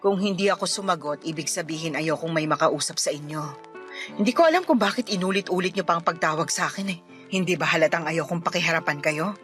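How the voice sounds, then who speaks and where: A woman's voice: low-pitched, fast talker, fairly bright tone. A woman playing a worn-out, despairing young mother in a Filipino radio drama, with a Filipino accent and a sullen, pleading manner. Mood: sullen, curt. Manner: curt and sullen, breaking into tearful pleading. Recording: music behind the voice.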